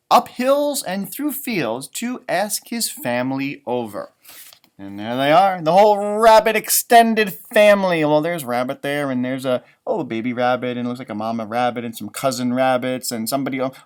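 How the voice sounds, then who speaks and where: A man reading a picture book aloud to young children, expressive, with chatty asides where he wonders aloud. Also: on the high side, normal speed. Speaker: elementary music teacher reading a storybook aloud.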